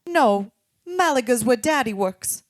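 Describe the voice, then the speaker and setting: A woman plays an anxious young married woman from a vintage-style radio detective drama. She talks earnestly and politely in a slightly high voice, recorded dry without room sound. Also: expressive, unhurried, no echo. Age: young adult. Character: anxious, well-off married woman in her late twenties. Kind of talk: old-time radio drama acting.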